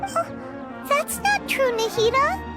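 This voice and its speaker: A woman voicing a tiny, bubbly, childlike companion sprite in a video game, loyal and cheeky, with a squeaky, chirpy, blunt delivery. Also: animated, takes it slowly, full character voice. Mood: concerned. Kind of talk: scripted video game voice acting. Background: music.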